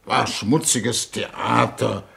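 An actor playing a pompous, world-weary older foreign minister in a 1950s German radio drama, with languid, deliberate delivery. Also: male voice, medium pitch, steady tone, vocal fry, a bit bright, exaggerated voice. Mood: weary disgust.

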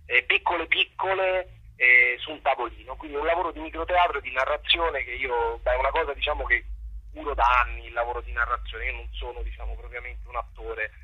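A man's voice: mid-range voice, steady tone, fairly quick, slightly rough, lo-fi sound. A middle-aged man speaking conversationally as a guest theatre director, searching for his words and repeating himself. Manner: hesitant, reflective, repeats words while searching for phrasing. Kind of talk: conversational interview.

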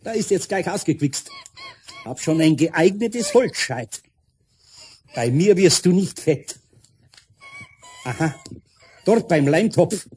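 A man playing a grumbling, good-natured older carpenter with a Bavarian accent and a husky, muttering, bemused delivery in a children's radio play. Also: sits high, slow, long pauses, slight background noise. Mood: muttering, determined. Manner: muttering to himself, bemused, expressive.

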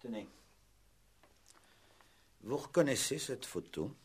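An actor playing a probing, dryly sceptical police commissioner in a 1960s French radio play, questioning in a curt, methodical way with grunts and short prompts. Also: male voice, mid-range voice, lively intonation, slow, slightly rough.

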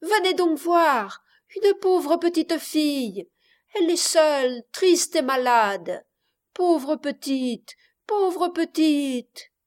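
A woman voicing a willful, bossy but warm-hearted eight-year-old girl in an audiobook, insistent and exclaiming. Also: high-pitched, animated, very slow, voice pushed up, exaggerated voice. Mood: pitying, urgent. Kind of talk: audiobook character voice.

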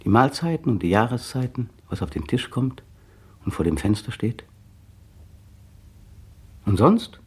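A brooding, bitter monologuist. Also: male voice, medium pitch, sing-song, unhurried, long pauses, a little mellow, breathy edge, heavily acted. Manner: brooding, bitter, precise diction. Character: tense bitter man brooding on his partner. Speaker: male narrator of a radio play. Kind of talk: 1960 radio drama inner monologue.

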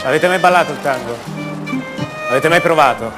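A man's voice: a little high, over loud music, lightly acted. An actor playing a restless, incredulous ordinary man confiding his doubts in a conversational radio theatre monologue. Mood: passionate, musing. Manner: conversational, halting repetitions.

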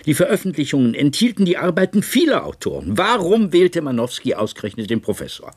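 A man chairing an inquiry in a radio drama. His husky voice swings widely in pitch as he speaks formally and deliberately, grave and probing. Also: wildly swooping pitch, a little mellow, exaggerated voice. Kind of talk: 1970s German radio play acting.

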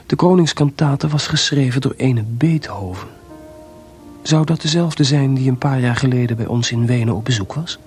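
An actor playing a proud, jealous composer with a sarcastic, theatrical delivery in a radio play. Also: male voice, medium pitch, moderate pace, darkish tone, faint music behind, lightly acted. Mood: wry, reflective. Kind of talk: radio drama acting.

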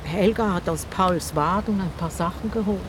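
A woman's voice: fairly low pitch, moderate pace, background noise, close to an everyday voice. An actress in a radio drama playing a talkative old river boatwoman with a wry, chatty, teasing manner. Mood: indignant. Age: older.

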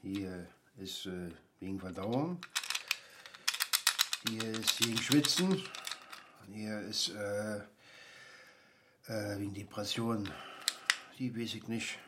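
Frail older man, halting breathy mutter, grumbling, radio drama, clear background noise. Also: lowish voice. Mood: fumbling, explaining. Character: frail, flustered old man with Parkinson's, grumbling. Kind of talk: naturalistic radio drama acting.

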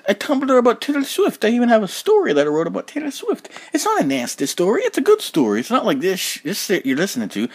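Husky, rambling sleep-deprived podcast host. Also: male voice, high-pitched. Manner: rambling, repeats himself, goes off on tangents, swears. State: sleep-deprived, up almost 24 hours. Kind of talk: rambling solo podcast monologue.

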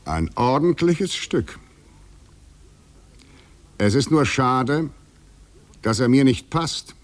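A man's voice: mid-range voice, animated, drawn-out words. An actor in a 1950s literary radio drama playing a taunting, eloquent male fugitive in a poetic, ironic manner. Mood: wry, insistent.

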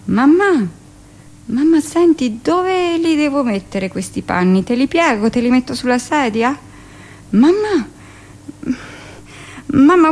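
An actress playing a middle-aged village-born midwife in an intimate, expressive theatrical monologue, with dramatic pauses. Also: female voice, a little high. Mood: urgent, childlike. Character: 45-year-old village-born midwife, recounting childhood, emotional, earnest.

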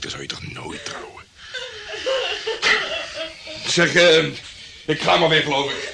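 An actor playing a cynical, hot-tempered private detective in a Dutch radio drama, coarse and swaggering. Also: male voice, a little high, vocal fry, very noisy.

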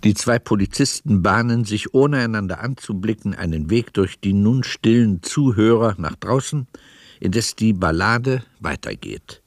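A low, dry-sounding radio drama narrator. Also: male voice, lively intonation, no echo. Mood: sober. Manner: measured, literary storytelling. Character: sober male storyteller narrating a radio play. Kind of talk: scripted narration.

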